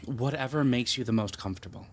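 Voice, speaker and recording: A man playing a gentle, flustered young priest in an audio drama, hesitant, apologetic and prone to stammering. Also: mid-range voice, fast, a little hiss or hum, close to an everyday voice. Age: young adult.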